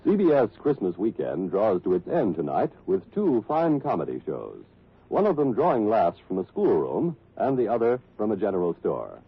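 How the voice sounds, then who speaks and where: A man's voice: on the low side, huge pitch swings, moderate pace, creaky, breathy edge. A man working as a network radio announcer, reading scripted credits and promos formally in 1940s style. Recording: tinny, narrow old radio broadcast recording.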